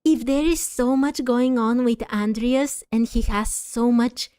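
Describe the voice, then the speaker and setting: A woman's voice: on the high side, measured pace, mellow, smooth, no room sound. A woman streamer giving casual gameplay commentary, musing and thinking aloud close to the microphone. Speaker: streamer playing a story game.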